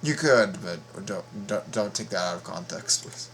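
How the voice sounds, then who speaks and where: A man giving casual reaction commentary, often halting and repeating his words. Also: low voice, lively intonation, fairly bright tone, slight background noise. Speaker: reaction video host.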